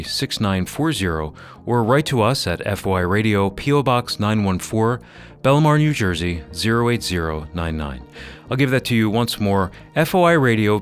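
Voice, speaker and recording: A man announcing a scripted radio program outro in a clear, deliberate, polished delivery. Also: fairly low pitch. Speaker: radio announcer and executive producer.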